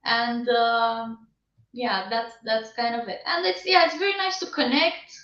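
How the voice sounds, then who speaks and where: Female guest, Romanian-born, candid, a bit high-pitched, heard from far off on a call. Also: unhurried, a bit bright. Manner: laughs often, candid and self-deprecating. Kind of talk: casual interview answers. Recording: distant, roomy, dull video call audio.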